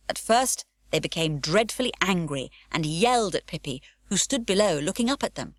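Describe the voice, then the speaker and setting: A woman narrating a children's storybook audiobook in a warm, lively storytelling way. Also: lowish voice, no room sound, studio quality.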